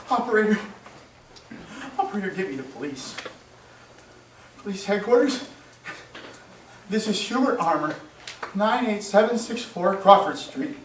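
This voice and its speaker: An actor playing a shaken husband whose wife has been murdered, in an old-time radio drama. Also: male voice, sits high. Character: Hubert Armour, shaken husband who finds his wife murdered. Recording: distant, echoey, noisy old radio recording.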